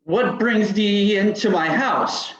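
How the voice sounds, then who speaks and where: A man playing a stubborn, secretive village traditionalist with halting, archaic speech in an old-time radio drama. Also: sits high, fairly bright tone. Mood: defensive, wary. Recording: dull, echoey lo-fi audio.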